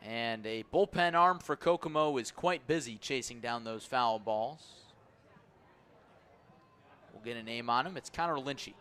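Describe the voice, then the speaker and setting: A man calling baseball play-by-play on the radio in measured, descriptive, clipped phrases. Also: mid-range voice, fairly bright tone, clear, resonant tone. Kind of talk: radio sports commentary.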